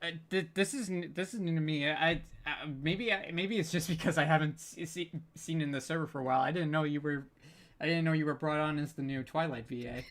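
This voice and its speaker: A bright, forceful voice hosts a casual panel on an online call. The delivery is halting, with stammers and repeated word beginnings. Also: mid-range voice.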